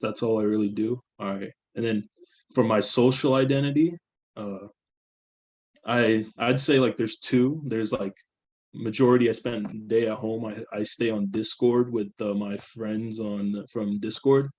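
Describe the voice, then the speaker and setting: Young man, lowish voice, casual filler-heavy student presenter. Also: fairly even intonation, a little slow, darkish tone. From San Francisco Bay Area. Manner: casual and loose, many likes and uhs. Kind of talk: class presentation.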